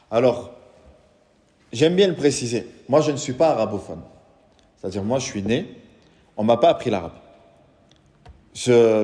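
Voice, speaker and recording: A man's voice: mid-range voice, mellow. A man, an imam, giving a religious lecture to a live audience, explaining patiently and asking the room questions. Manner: explains patiently, asks the audience questions, recites Quranic Arabic. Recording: reverberant hall.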